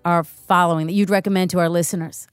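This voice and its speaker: A woman's voice: on the low side, fairly even intonation, fast talker, clean tone, no room sound, soft background music. A woman hosting a podcast, asking questions in a conversational interviewing style.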